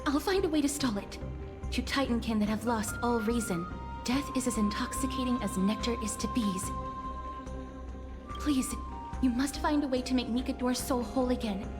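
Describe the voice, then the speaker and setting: An actress playing an earnest, solemn young heroine in a video game, with a formal, poetic way of speaking. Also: female voice, a little high, normal speed, close to an everyday voice. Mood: solemn, pleading. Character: earnest, solemn young heroine pleading to save her world. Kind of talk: dramatic video game voice acting. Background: game music.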